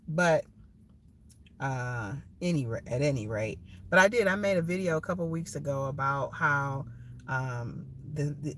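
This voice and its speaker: A woman in her thirties, a little nasal from a cold, talking casually in a live vlog with many ums and restarts while she waits at a food place. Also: sits low. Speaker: lifestyle vlogger.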